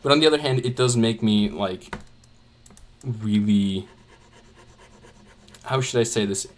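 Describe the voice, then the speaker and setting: A man's voice: fairly low pitch, a little slow, a little hiss or hum. A young man chatting casually while he draws, rambling with many fillers and restarts. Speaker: art channel creator. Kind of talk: casual chat while drawing.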